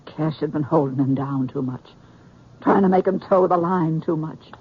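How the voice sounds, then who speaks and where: An actress playing a grieving, forgiving American frontier woman in a radio drama, speaking in a grave, halting way. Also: low voice, natural voice. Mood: pained, earnest. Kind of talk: 1950s radio drama acting. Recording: muffled, noisy old radio broadcast.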